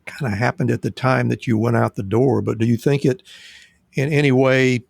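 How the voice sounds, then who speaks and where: Host: man, gravelly mid voice, dry close mic, halting. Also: mid-range voice, fairly even intonation, darkish tone, no room sound. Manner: halting, many fillers and restarts. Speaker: podcast host. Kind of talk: podcast interview.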